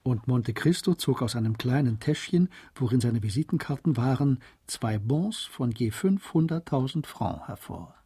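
A man's voice: mid-range voice, lively intonation, normal speed, few pauses, warm tone, breathy edge. A man giving scripted literary narration as the narrator of a German radio drama. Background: music.

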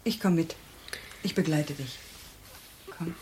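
An actress playing a sober, quietly probing woman in a crime radio drama, with a controlled, questioning way of speaking. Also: low voice, slow, slight background noise. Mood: composed.

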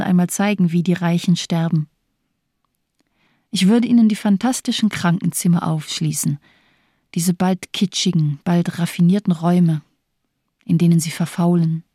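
A woman's voice: on the low side, mellow, no echo, studio quality. An actress playing a bitter, cynical morphine-addicted woman doctor in a radio drama, declaiming with sardonic eloquence.

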